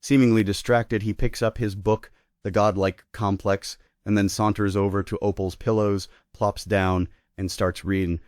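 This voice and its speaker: A man's voice: lowish voice, level tone, moderate pace, a little mellow, no room sound, studio quality. A man giving scripted narration, reading the stage directions at a table read.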